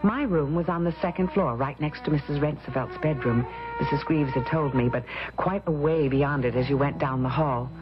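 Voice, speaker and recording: A woman plays an uneasy young heroine of a gothic radio mystery. Her voice sits fairly low and carries dramatic dread as she tells her tale. It comes through a dull radio recording with music clearly behind it. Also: moderate pace, lightly acted. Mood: uneasy, hesitant.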